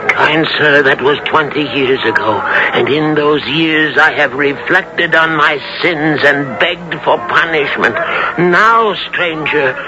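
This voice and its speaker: An actor playing a greedy, wheedling merchant in a theatrical, effusive 1970s radio drama style. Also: male voice, fairly high pitch, takes it slowly. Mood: penitent. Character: greedy, wheedling merchant turned repentant blind beggar. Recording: muffled old radio recording with music.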